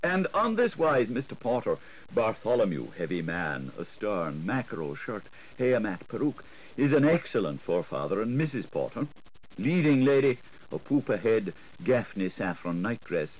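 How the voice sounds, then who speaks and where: A man reading a literary audiobook in a theatrical, playful way, shifting between questioning and answering voices. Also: lowish voice. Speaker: audiobook reader. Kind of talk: dramatic literary narration. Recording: scratchy, noisy 1980s audiobook recording.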